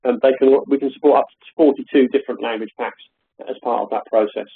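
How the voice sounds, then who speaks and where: A man giving a hesitant, filler-heavy software demonstration on a live call from his home office. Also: mid-range voice, fairly even intonation, quick pace, slightly rough, narrow, tinny audio. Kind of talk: live webinar product demo. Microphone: call audio.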